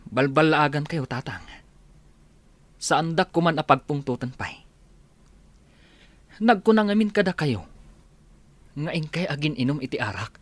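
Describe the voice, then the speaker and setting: A man's voice: on the high side, expressive, measured pace, pauses often, no room sound. An actor playing an exasperated young policeman son, scolding emphatically in a radio comedy drama. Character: exasperated grown policeman son scolding his drunkard father.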